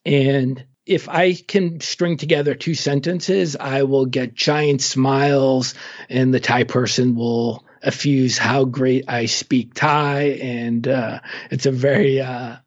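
A man giving relaxed, informative travel advice in a casual conversation, with frequent fillers. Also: mid-range voice, slow, dull audio. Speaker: travel guest expert.

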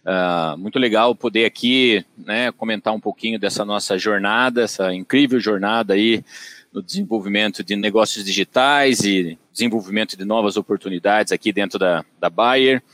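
Caipira-accented Brazilian man, measured talk. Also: on the low side, fairly bright tone. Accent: countryside São Paulo (caipira). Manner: measured, with frequent hesitations. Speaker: guest, company director. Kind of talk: conversational interview.

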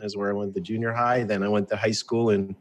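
A man's voice: on the low side, flat delivery, fast, a little hiss or hum. A man in his fifties with an American accent, telling his life story conversationally as an interview guest, with wry, jokey asides. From born in Soviet Russia, grew up in the United States from age eight. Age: 50s. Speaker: interview guest, entrepreneur and author. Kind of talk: casual autobiographical storytelling in an interview.

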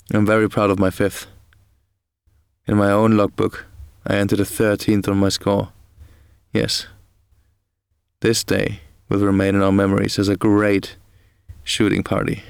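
An actor playing a proud young wartime fighter pilot, reading his logbook in a measured, restrained manner. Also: fairly low pitch, creaky, smooth, no echo, studio quality. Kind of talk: dramatised diary reading.

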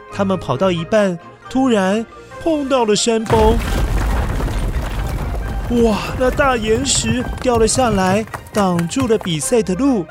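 A man narrates a children's story in an expressive, dramatic way, with exclamations and sound words. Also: high-pitched. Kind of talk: animated scripted children's storytelling. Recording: music behind the voice. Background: music.

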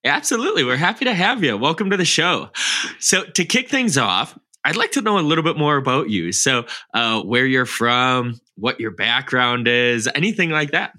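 Bright, tense-voiced enthusiastic male host. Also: mid-range voice, very animated, normal speed. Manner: enthusiastic, upbeat. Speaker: podcast co-host. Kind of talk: podcast interview.

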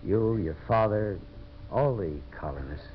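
Tough, earnest radio-drama hero, widely swinging pitch. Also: male voice, lively intonation, measured pace. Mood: hushed, earnest. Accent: American. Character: tough, determined man turned earnest champion of settlers. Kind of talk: 1950s American radio drama acting. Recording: muffled, hissy old radio broadcast.

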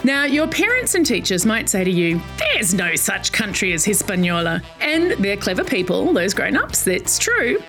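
A woman's voice: mid-range voice, big pitch swings, moderate pace, a bit bright, faint music behind, low-quality recording. A woman presenting a scripted educational podcast for children, speaking directly to young listeners with playful asides. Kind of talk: scripted educational narration for kids.

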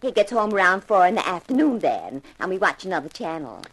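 A woman's voice: lowish voice, big pitch swings, fairly quick, a bit bright, poor audio. A woman acting a nosy, chatty, blunt working-class housewife in a 1950s radio drama.

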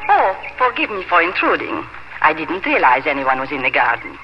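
A woman's voice: deep voice, very animated, normal speed, muffled recording, noisy background. An actress playing a poised young French fiancée in a 1950s radio drama, airy and polished, politely cutting toward her rival. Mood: airy politeness. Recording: band-limited 1950s radio with music.

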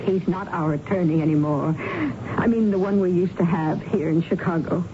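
Low-pitched, distressed widow played by a woman. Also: lightly acted. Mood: defensive. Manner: halting, guarded, pleading. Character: distressed, defensive bereaved wife. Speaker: radio drama actor. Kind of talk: 1970s radio drama acting. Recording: noisy, dull lo-fi radio broadcast.

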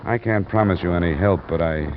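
An actor playing a dogged, world-weary insurance investigator in a 1950s American radio drama, with a clipped hardboiled delivery. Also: male voice, low voice, a little fast, lightly acted. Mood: terse, probing. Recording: muffled, noisy old-time radio recording.

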